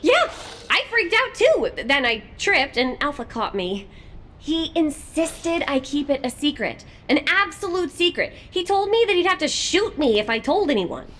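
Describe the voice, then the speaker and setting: An actress playing a young, naive and cheeky female soldier in video game dialogue, talking in an expressive, informal, girlish way. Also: high voice, measured pace, slight background noise, heavily acted. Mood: conspiratorial, excited.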